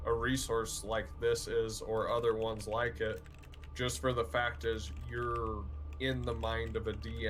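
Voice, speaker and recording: Low flat-sounding guy, guest dm, kinda halting, some music under it. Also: slight background noise. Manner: slow, halting, hesitant. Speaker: guest game master. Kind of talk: casual chat.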